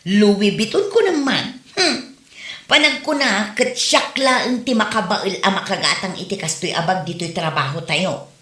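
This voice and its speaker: A woman plays a vain female braggart in an Ilocano comedy drama. Her voice is sharp, highish and very lively, with an Ilocano Filipino accent, in an echoey room. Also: measured pace. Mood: smug, scornful. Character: boastful, vain woman showing off her luxury bag. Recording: roomy, echoey room sound.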